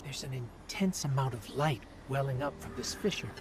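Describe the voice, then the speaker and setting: An actor voicing a small, devoted robot companion in a video game, speaking in an earnest, solemn and measured way. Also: male voice, medium pitch, expressive, lightly acted. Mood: reverent, awed. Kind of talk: video game cutscene acting.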